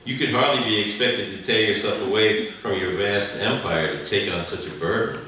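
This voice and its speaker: A man's voice: fairly low pitch, moderate pace, bright, forceful tone, a little hiss or hum. A man playing a polite, dry nephew questioning a grandiose uncle in an old-time radio comedy, staged live in a community room. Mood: politely probing. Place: library community room before an audience. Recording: echoey live room, distant mic.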